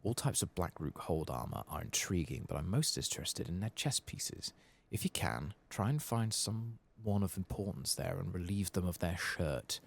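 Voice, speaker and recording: Fantasy game dwarf played by a soft-spoken man: sly, boastful armorer, bass and creaky, confiding. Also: lively intonation, normal speed, full character voice. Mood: sly, scheming. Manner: bragging, conspiratorial. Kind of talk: soft-spoken reading of game quest dialogue.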